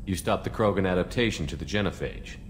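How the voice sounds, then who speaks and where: An actor playing a composed, businesslike human commander in a video game, direct and questioning. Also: male voice, sits low, quick pace, natural voice. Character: steady, businesslike human male military commander. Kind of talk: video game character acting. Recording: long reverb, clear background noise.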